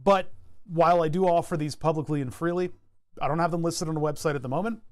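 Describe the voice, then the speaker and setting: A man's voice: fairly high pitch, steady tone, fast, dry recording. A man streaming live, chatting casually while he designs a circuit board, thinking aloud and often restarting his sentences. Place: home computer desk.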